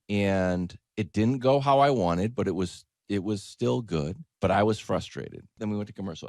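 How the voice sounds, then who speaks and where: A man with a lowish voice hosts a sports talk show as a comic storyteller. His pitch swings widely as he stresses his points and acts out little exchanges. The sound is dry and close. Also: dry recording. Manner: emphatic, animated, acts out quoted exchanges. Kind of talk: conversational comedic storytelling monologue.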